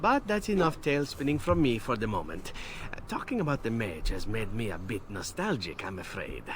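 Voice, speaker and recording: An actor playing a roguish, flirtatious assassin in a video game, telling tales in a suave, glib, teasing way. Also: male voice, lowish voice, very animated, a little fast, a bit bright, a little hiss or hum. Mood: wistful, glib. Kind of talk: video game dialogue acting.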